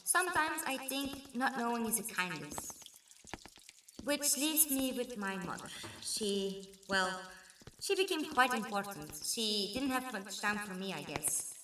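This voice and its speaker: A woman playing a kind, concerned companion in a sci-fi audio drama, with a gentle, hesitant manner. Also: a little high, animated, a little slow. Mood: wistful. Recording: echoey, distant, faint noise.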